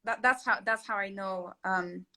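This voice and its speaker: A woman's voice: medium pitch, moderate pace, sharp tone. A young woman from Latvia whose first language is Russian, chatting casually as host with many fillers and restarts. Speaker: channel host, interior sketch artist. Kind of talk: casual conversation.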